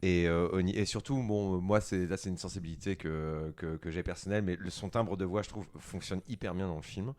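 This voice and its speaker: A man's voice: deep voice, fairly even intonation, fairly quick, few pauses, a little mellow. A man co-hosting a film podcast, sharing personal opinions in casual chat. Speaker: podcast co-host.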